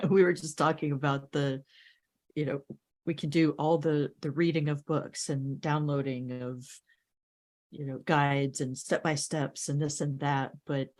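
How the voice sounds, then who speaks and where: A podcast co-host chatting in an interview, agreeable and quick to laugh. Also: medium pitch, a little mellow.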